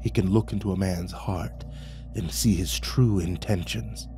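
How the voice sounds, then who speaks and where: A vast male dragon speaks low and unhurried, as a man puts him on, his hushed, secretive delivery dropping at times to a whisper. The voice sits well below a normal speaking voice. Faint music plays underneath. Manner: low, hushed, slow and measured, partly whispered. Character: calm, ancient male dragon, huge and knowing.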